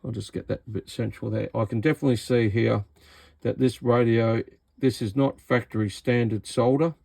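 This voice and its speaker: A man's voice: mid-range voice, steady tone, normal speed, vocal fry, dark tone, no room sound. An Australian man giving casual, hands-on commentary as he inspects equipment, speaking in a blunt, sarcastic way. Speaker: radio repair hobbyist presenting a video.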